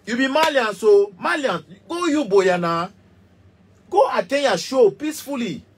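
Cameroonian guy in his 30s going off about stuff, raspy voice, repeats himself a lot, phone cam in a little studio. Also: very high-pitched, animated, unhurried. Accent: Cameroonian Pidgin English. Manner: passionate, emphatic, repetitive, gesturing. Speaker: musician. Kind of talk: heated direct-to-camera rant. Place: small indoor music studio.

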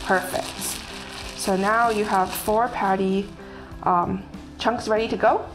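A young woman walks through a recipe in a sunny home kitchen, giving friendly step-by-step instructions as she works. Her voice sits at an ordinary middle pitch. Light music plays underneath, and food sizzles on the grill. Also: fairly even intonation, slow, poor audio. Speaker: chef demonstrating a recipe. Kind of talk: casual cooking demonstration. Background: background music and sizzling food.

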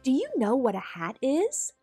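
Female read-aloud host for young kids, highish lively voice with swooping intonation, storytelling delivery. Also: moderate pace, music in the background. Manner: animated, clearly paced storytelling for young children. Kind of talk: expressive picture book read-aloud.

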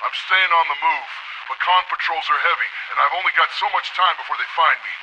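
An urgent robot scout from a video game, heard over radio. The voice is fairly high, extremely sharp and tense, with faint noise around his hurried report. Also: lo-fi sound. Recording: clear radio static noise.

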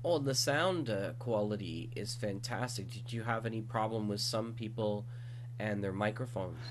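A man's voice: on the low side, moderate pace. A man hosting a podcast, putting questions to a guest in a casual, conversational way. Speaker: podcast host, interviewer. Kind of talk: casual interview. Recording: faint background noise and hum.

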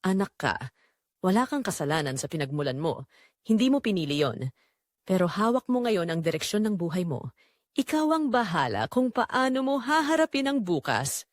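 A woman voicing a gentle, forgiving mother in an audio drama, consoling and earnest. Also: medium pitch, sing-song, moderate pace, no echo, clean studio sound, natural voice. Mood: tender, consoling. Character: gentle, forgiving mother comforting her grown daughter.

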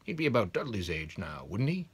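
A man's voice: lowish voice, sing-song, fairly quick, slightly rough, full character voice. A man voicing a nervous, stiff suburban husband from a storybook, hesitant and mumbling.

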